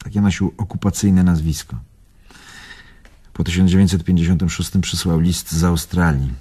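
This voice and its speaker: A man's voice: sits low, steady tone, normal speed, dark tone, husky. An older man playing a bitter, wounded old bon vivant in a radio drama, musing theatrically and evasively. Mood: wistful reminiscing. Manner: theatrical, musing, swinging between murmur and outburst.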